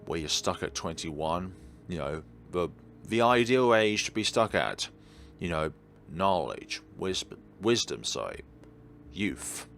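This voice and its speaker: A man's voice: low-pitched, lively intonation, slow pace, creaky, a bit bright. A man doing a let's-play, reading aloud and adding musing, rambling commentary of his own. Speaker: video game streamer. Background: faint background game music.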